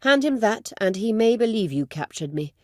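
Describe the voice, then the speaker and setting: An actress playing an imperious, scheming middle-aged sorceress in a video game, speaking formally and with measured, biting sarcasm. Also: female voice, mid-range voice, very animated, dry recording, studio quality, natural voice. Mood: sardonic, haughty. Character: imperious, scheming sorceress, proud, sardonic and vengeful. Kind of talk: video game character dialogue.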